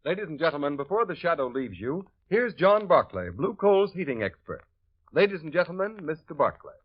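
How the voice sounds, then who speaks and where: A man announcing in a brisk, emphatic old-time radio style. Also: a little high, big pitch swings. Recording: dull old radio recording with music.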